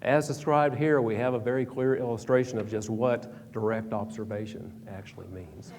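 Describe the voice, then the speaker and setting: A man's voice: mid-range voice, fairly even intonation, normal speed, darkish tone. A man presenting a prepared history talk in a hall, reading from a script with small stumbles and restarts. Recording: reverberant hall, faint background hum.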